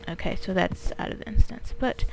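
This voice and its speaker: A woman's voice: mid-range voice, a little fast. A woman giving casual gameplay commentary, thinking aloud informally with her mouth very close to the microphone. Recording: loud noise, breathy mouth-on-mic clicks.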